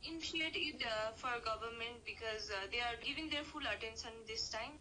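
A young woman from Nepal on a video call, talking hesitantly with many pauses. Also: a little high, sharp tone, a little hiss or hum. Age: young adult. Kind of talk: casual phone conversation. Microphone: phone video call.

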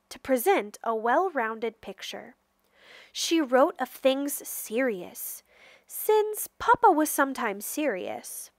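A woman reading a children's picture book aloud with careful, expressive storytelling delivery. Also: sits high, dry recording. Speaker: storybook reader.

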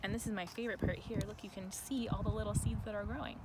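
A woman reads a children's book aloud in an expressive, deliberate way, adding chatty asides. Her voice sits at a middle pitch. She is recorded outdoors on a camera, with clear ambient noise behind her. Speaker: educator reading a picture book aloud to children. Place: outdoors in a sunny farm garden. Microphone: camera held by another person nearby.

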